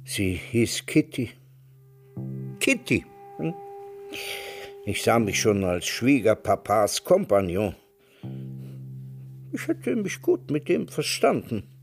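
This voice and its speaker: An actor in a radio drama playing an old, retired emigrant veteran who speaks in a measured, wry, reminiscing way. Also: male voice, lowish voice, sing-song, creaky, soft background music, heavily acted. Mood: wistful, matter-of-fact. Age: older. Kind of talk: German radio drama acting.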